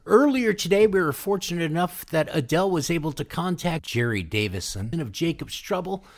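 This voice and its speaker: A man's voice: a little high, animated, a little fast. A man hosting a music show, presenting in a casual, conversational style. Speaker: radio show host.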